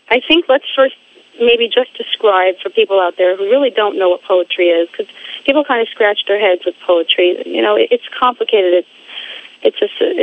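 A woman speaking as a guest in a phone interview, halting and repeating words while she searches for her phrasing. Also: mid-range voice. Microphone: phone line. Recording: tinny phone line.

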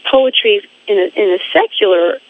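A woman speaking as a guest in a phone interview, halting and repeating words while she searches for her phrasing. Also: mid-range voice, lively intonation. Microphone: phone line. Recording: tinny phone line.

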